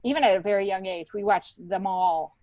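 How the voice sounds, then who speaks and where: A middle-aged American woman, a stand-up comedian being interviewed, telling stories casually with an animated, emphatic delivery. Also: medium pitch, fairly quick, dry recording, highs cut off. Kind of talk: casual conversational storytelling.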